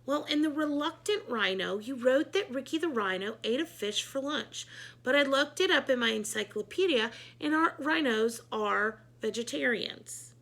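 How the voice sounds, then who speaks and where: A woman's voice: sits high, animated, moderate pace, a bit bright, full character voice. A woman voicing a prim, bookish know-it-all schoolgirl in a children's storybook, correcting and insistent.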